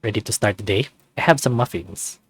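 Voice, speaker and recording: A man voicing a caring, gently bossy male lab colleague in a visual novel. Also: lowish voice, brisk, breathy edge, no room sound, voice pushed down.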